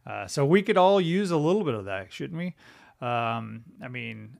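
Gravelly middle-aged man teaching scripture. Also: mid-range voice, animated, moderate pace, a little mellow. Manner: conversational, thinking aloud with frequent "uh" and "right?" tags. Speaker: podcast host and Bible teacher. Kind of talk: casual Bible teaching podcast.

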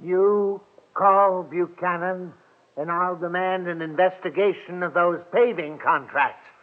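A man's voice: sits high, steady tone, unhurried. An actor playing an earnest, gullible small-town man in an old-time radio drama, speaking emphatically. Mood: firm, angry. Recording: thin, phone-like old radio recording.

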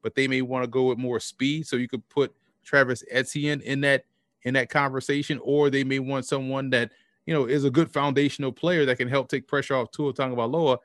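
A man from New Orleans speaks as a football analyst guest on a podcast. His voice is recorded dry and close, with a mid-range pitch. He explains at length and often restarts his phrases. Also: fairly even intonation, brisk, fairly bright tone, no echo. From New Orleans, Louisiana. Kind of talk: sports podcast interview.

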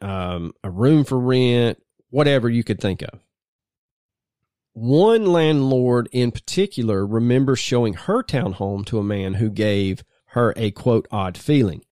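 A man co-hosting a podcast, telling the details of a true crime case in a measured storytelling way. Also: mid-range voice, darkish tone, dry recording, clean studio sound. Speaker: podcast co-host.

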